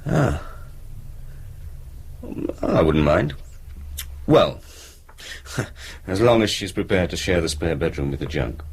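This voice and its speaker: An actor playing a dry, supportive husband in a radio drama, speaking in an understated way. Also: male voice, sits low, big pitch swings, slow, long pauses, a little hiss or hum, heavily acted. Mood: calm, dry. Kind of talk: naturalistic radio drama acting.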